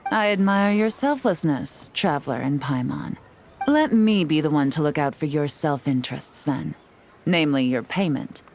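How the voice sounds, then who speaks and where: A woman voicing a cool, confident female spy in a game, smooth and teasing as she lays out her plans. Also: deep voice. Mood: wry. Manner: smooth, teasing, self-assured. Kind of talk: video game voice acting. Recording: game audio with soft music behind.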